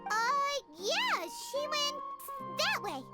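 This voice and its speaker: A woman voicing a tiny, cheerful, excitable childlike fairy companion in a video game, given to exclaiming. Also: extremely high pitch, drawn-out words, loud music behind the voice, full character voice. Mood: eager, urgent. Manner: refers to self in third person, exclaiming.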